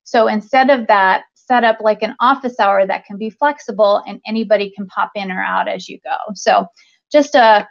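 A woman in her thirties presents to a conference audience over a video call, in a loose, conversational way full of ums and restarts. Her voice carries a noticeable gravelly fry at a middling pitch. The sound is dull and lo-fi, as webcam audio often is. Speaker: conference speaker, talent acquisition leader. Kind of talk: conference talk over slides.

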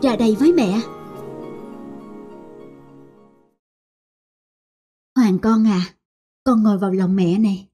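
A tender young mother with a worried manner in a cartoon family drama, acted by a woman. Music is clearly heard behind. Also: mid-range voice, fairly quick, dark tone, lightly acted.

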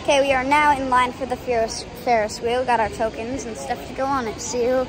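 A woman's voice: high voice, fairly even intonation, a little fast, crowd noise, rough recording. A woman filming a casual travel vlog on a handheld phone, chatting to viewers with lots of fillers. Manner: chatty, with frequent fillers, addressing viewers as "guys". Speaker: vlogger. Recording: music playing over the voice. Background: background music.

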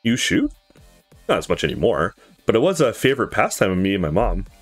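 A man's voice: on the low side, big pitch swings, fairly quick, soft background music, pitched below the performer's own voice. A man voicing a cocky, playful, casual male hero from a visual novel. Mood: calm, reflective. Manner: brash, casual. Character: cocky, playful man who likes sparring and guns.

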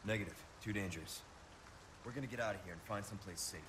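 A man playing a stoic, protective agent in a video game, speaking in a terse, calm, dry manner. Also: lowish voice, quick pace, noisy background, close to an everyday voice. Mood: calm, determined. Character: stoic, protective young male action hero agent. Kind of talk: video game character acting.

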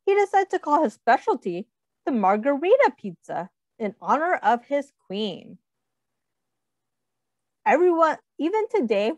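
A woman reading a picture book aloud to young children, expressively, pausing to explain and ask questions. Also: on the high side, a little mellow, no room sound, lo-fi sound. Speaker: read-aloud host, teacher.

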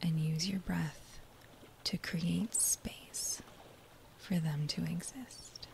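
A woman guiding a body scan meditation in a soft, breathy near-whisper, with a gentle, soothing manner and unhurried phrases. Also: fairly low pitch, steady tone. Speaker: meditation guide. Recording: faint background noise.

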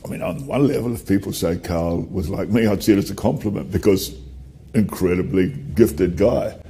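Interviewee in his sixties, very low, gravelly, dark tone, thoughtful. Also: normal speed. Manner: reflective, weighing his words. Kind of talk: interview answer.

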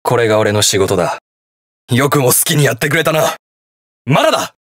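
An actor playing a brash, hot-blooded young detective hero in a video game, gruff, terse and defiant. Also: male voice, mid-range voice, animated, brisk, a bit bright, no room sound, studio quality, natural voice. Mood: cool, menacing, then defiant, fierce. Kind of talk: video game battle voice lines in Japanese hero-show acting.

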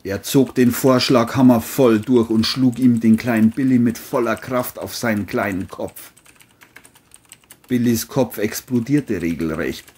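Narrator for a crime thriller: mellow and husky, scripted delivery. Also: medium pitch, a little slow.

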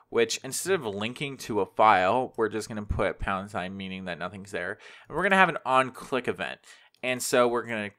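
A man's voice: fairly low pitch, pushed and bright. A man hosting a screencast coding tutorial, explaining things in an informal, conversational way. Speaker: tutorial host, web developer.

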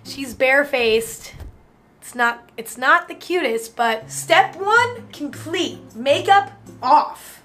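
Bright, chatty young woman vlogger. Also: sits high, animated, takes it slowly, faint music behind. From Northern California. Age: young adult. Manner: chatty, self-mocking, frequent "like" fillers. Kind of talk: casual vlog chat. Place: hotel room.

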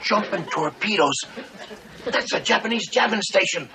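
An actor playing an excitable navy boat skipper who barks brash orders. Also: male voice, high-pitched, moderate pace, far from the mic, a crowd in the background, background noise. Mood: alarmed. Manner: brash, commanding.

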